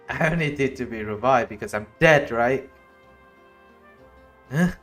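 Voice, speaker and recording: Slow-talking male game streamer. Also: medium pitch, a bit bright, faint music behind. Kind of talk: casual live reaction.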